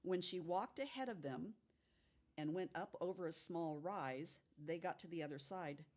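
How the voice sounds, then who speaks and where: A woman's voice: lowish voice, moderate pace, darkish tone. An older woman telling stories casually and conversationally as a guest on a podcast.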